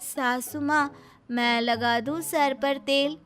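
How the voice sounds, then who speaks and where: A woman's voice: sits high, steady tone, slow pace, fairly bright tone. A woman playing a simple, dutiful daughter-in-law in a comic family story, earnest and deferential. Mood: meek offer. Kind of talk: Hindi animated family comedy story. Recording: music behind the voice.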